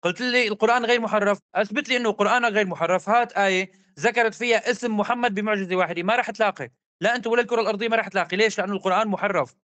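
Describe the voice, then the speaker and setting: A man's voice: high-pitched, fairly bright tone, dry recording. A man arguing hard in a religious debate, insistent and repetitive. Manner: rapid, insistent, argumentative, repeats demands.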